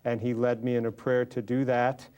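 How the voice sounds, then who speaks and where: A man's voice: mid-range voice, flat delivery, normal speed, a little mellow, clean tone, lo-fi sound. An American man telling his personal faith story as a guest in an interview. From Los Angeles, California, USA. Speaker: interview guest, lay businessman.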